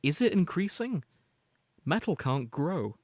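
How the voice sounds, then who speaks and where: A man's voice: fairly high pitch, big pitch swings, unhurried, darkish tone, no echo. Man reading a story, dry close recording. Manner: storytelling, alternating read-out prose with casual asides. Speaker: podcast host. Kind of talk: reading and summarizing fan fiction.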